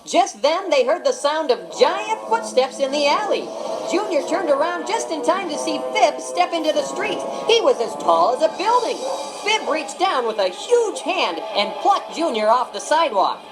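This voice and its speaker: A woman narrating a read-along storybook in an expressive, dramatic way. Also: high voice, moderate pace, rough recording. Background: background music.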